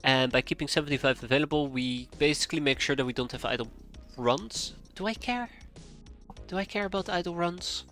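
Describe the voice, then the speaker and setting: A man's voice: a little high, fairly quick, pushed and bright, soft background music. A man in his thirties with a cold and a stuffy nose, streaming a strategy game live, thinking aloud and drifting off track as he chats with viewers. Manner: rambling, thinking aloud, easily sidetracked. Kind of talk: live gameplay commentary, chatting with viewers.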